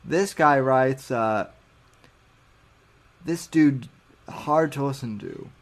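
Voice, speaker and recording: A young man hosting a podcast livestream, reading chat comments aloud and answering critics in a halting way with many restarts. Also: mid-range voice. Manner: defensive, mocking chat critics.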